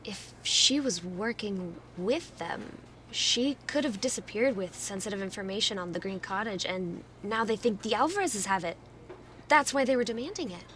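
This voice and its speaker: Inquisitive, friendly woman investigator, played by an actress; mid pitch, audio drama, some background noise. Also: animated, moderate pace, fairly bright tone, close to an everyday voice. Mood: curious, friendly. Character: Cam, friendly, inquisitive female fellow investigator.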